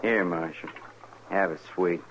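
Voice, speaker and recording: An actor playing a coolly patient, taunting outlaw prisoner in 1950s radio drama style, with a rural American western accent. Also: male voice, low voice. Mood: sly, falsely obliging. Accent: rural American West. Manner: mocking, unhurried needling. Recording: muffled, lightly noisy vintage radio recording.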